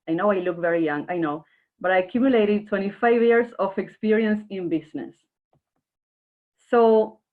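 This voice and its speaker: A woman from Argentina giving an earnest workshop presentation online, speaking directly to her audience. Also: medium pitch. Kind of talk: webinar presentation. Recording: narrow phone-like online call audio.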